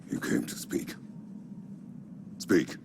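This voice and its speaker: An actor playing a stern, brooding warrior god and father in a video game, terse and menacing, in a gravelly growl. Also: slow pace, a little mellow, slight background noise, lightly acted. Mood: grim, threatening. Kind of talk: video game cutscene acting.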